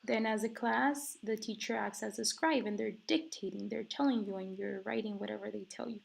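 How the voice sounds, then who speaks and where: Gravelly-voiced woman with a cough. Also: mid-range voice, fairly even intonation, brisk. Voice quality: gravelly fry. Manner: casual, with many fillers. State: recovering from illness, coughing. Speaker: student presenter. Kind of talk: reading from slides and explaining.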